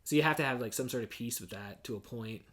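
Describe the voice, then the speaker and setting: A man's voice: medium pitch, fast, a bit bright. A man in his forties hosting a podcast, telling stories in a loose, laid-back way, laughing often and peppering his talk with swearing and "dude". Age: 40s. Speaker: podcast host. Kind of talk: casual chat.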